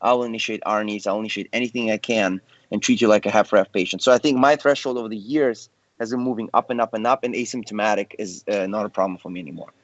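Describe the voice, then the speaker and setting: A man's voice: fairly low pitch, quick pace, no room sound. A male cardiologist on a panel, speaking in a confident, conversational way with joking asides. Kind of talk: panel discussion. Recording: muffled dry video call audio.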